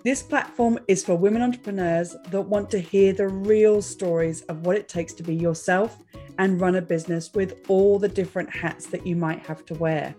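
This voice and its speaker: A woman's voice: on the low side, fairly even intonation, moderate pace, mellow, faint music behind. A woman hosting a podcast, reading a scripted intro and then interviewing a guest with enthusiasm and encouragement. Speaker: podcast host.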